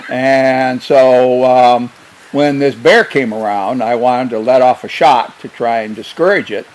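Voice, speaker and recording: An older man, a former wildlife biologist, telling stories in an unhurried, hesitant way with many fillers. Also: mid-range voice, steady tone, poor audio. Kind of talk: casual storytelling.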